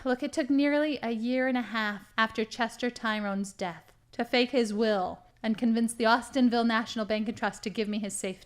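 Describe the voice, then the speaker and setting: Woman playing a gloating, higher-pitched female villain. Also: lightly acted. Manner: gleeful, boastful, dramatic monologuing. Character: gloating, theatrical female villain, cheerfully murderous crime boss. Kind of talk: scripted comedy audio drama acting.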